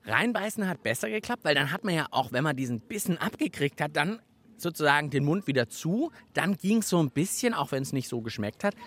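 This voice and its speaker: A man's voice: a little high, a little fast. A man hosting a children's radio show in a playful, chatty manner. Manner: playful, animated. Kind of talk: lively children's show chat.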